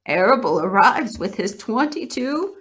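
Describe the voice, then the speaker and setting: A woman's voice: medium pitch, lively intonation, unhurried, a bit bright, pitched above the performer's own voice. A woman voicing an old female sheep in a storybook, blunt, gossipy and unsentimental, with a drawling matter-of-fact manner. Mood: blunt, grim.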